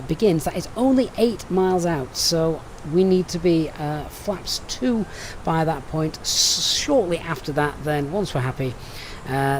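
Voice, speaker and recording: A man's voice: on the high side, a little hiss or hum, low-quality recording. A man with a Sheffield accent on a live stream, methodically briefing a flight and chatting with viewers between steps. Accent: Sheffield English. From Sheffield, England.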